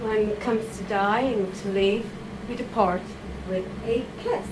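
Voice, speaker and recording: A woman giving a chatty, informal talk to a live audience, full of asides, fillers and quick "okay?" checks. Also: medium pitch. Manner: chatty, animated, with frequent fillers and tag questions. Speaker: writer giving a reading. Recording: audible background noise.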